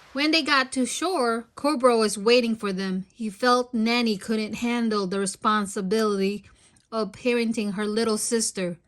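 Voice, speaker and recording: A woman with a high voice reads a storybook aloud for children. Her narration is expressive, in the manner of a storytime host. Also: slight background noise.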